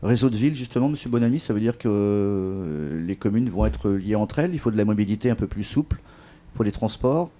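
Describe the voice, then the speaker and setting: A man's voice: lowish voice, animated, a little fast, mellow. A man interviewing guests on a local current affairs programme.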